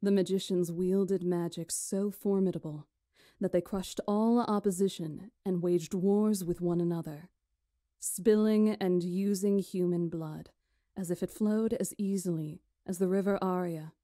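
A woman playing a mellow, imperious witch storyteller. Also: lowish voice, steady tone, no room sound, studio quality, natural voice. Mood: solemn storytelling. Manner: formal, deliberate, imperious storytelling. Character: poised, commanding witch storyteller lecturing a council. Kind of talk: visual novel voice acting.

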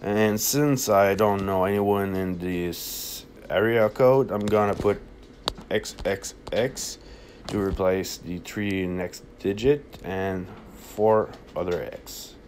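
Slow, low-voiced man. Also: a little mellow, a little hiss or hum. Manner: halting, hesitant delivery. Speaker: app tutorial presenter. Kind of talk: casual unscripted screen-recording walkthrough.

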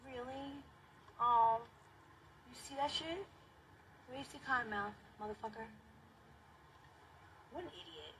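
A woman's voice: fairly high pitch, animated, measured pace, long pauses, a bit bright, poor audio. A woman in her thirties chatting casually and bluntly, with plenty of swearing, on a livestream from a car. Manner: blunt, profane. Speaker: livestream host. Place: inside a car. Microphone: phone at arm's length. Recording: car interior with noise.

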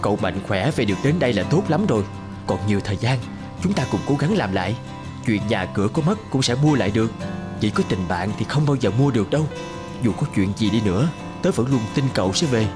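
A man's voice: on the low side, lively intonation, fast talker, close to an everyday voice. A man voice-acting an earnest, loyal grown man in a cartoon, speaking sincerely to an old friend. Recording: guitar music under the voice. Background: guitar music.

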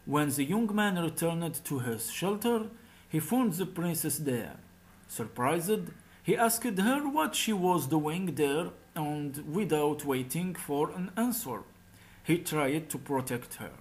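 A man narrating a scripted folk tale as a storyteller, reading aloud in a slightly halting way. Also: a little high, lively intonation, low-quality recording.

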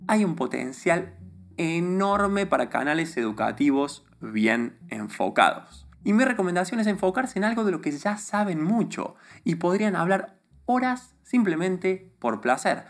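A confident Argentine man with a softened accent explains his ideas directly to camera, his voice rising and falling in a very animated way. He sounds emphatic and sure of himself, speaking in a small studio close to a desk microphone. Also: a little high, unhurried. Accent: neutralized Argentine Spanish. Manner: confident, emphatic, gestures a lot with his hands. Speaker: YouTube creator. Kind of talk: direct-to-camera advice talk. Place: small studio room before a plain grey backdrop. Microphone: desk microphone in front of him.

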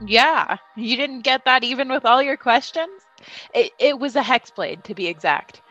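A woman's voice: on the high side, lively intonation, moderate pace, pushed and bright, soft background music. A woman narrating a tabletop game as game master. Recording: dull, lo-fi sound.

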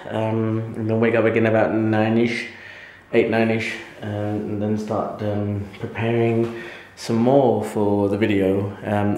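A tired man in his thirties with a Danish accent, speaking low and a little deep. He talks to a camera at arm's length in a dim room at home, with a roomy echo around him. He hesitates often and fills his pauses with ums. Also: darkish tone. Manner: hesitant, many ums, self-doubting. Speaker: vlogger. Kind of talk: casual late-night vlog talk. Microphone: handheld camera at arm's length.

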